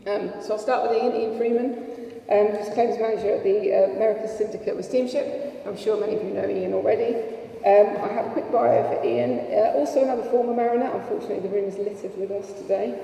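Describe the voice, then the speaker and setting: A woman's voice: mid-range voice, fairly even intonation, fast, vocal fry, mellow. A woman chairing a conference panel, introducing speakers in a chatty, self-deprecating way with many fillers. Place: conference hall. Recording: echoey hall from far off.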